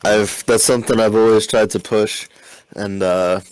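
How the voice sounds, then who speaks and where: A man's voice: fairly low pitch, fairly even intonation, a little hiss or hum, poor audio. A man co-hosting a comedy podcast, rambling and swearing in an improvised sketch. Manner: rambling, swears a lot, slow drawl in bits. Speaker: podcast co-host.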